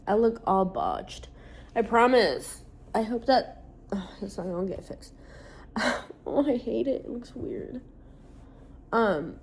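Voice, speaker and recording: A woman in her late twenties chats straight to her audience in a loose, rambling way. She fills her talk with "like" and drops casual swear words. Her pitch sits in the middle of the usual range for a woman. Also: darkish tone. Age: late 20s. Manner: rambling, candid, sprinkled with swearing and "like". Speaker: social media creator. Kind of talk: casual vlog chat.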